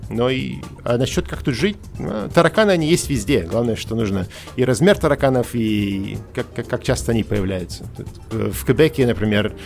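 A man in his forties from Russia, a podcast guest talking casually over a call, thoughtful and halting with many fillers and restarts. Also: mid-range voice, expressive, gravelly, faint music behind. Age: 40s.

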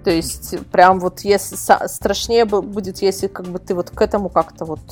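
A woman's voice: medium pitch, fairly even intonation, moderate pace, faint music behind. A woman speaking as a guest reviewer in a casual podcast discussion, emphatic and repeating words for stress. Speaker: guest, product reviewer and website editor.

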